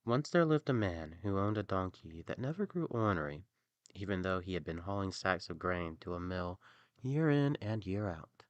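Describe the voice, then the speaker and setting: A man narrating a fairy tale as an expressive storyteller. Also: deep voice, moderate pace, no room sound, dull audio.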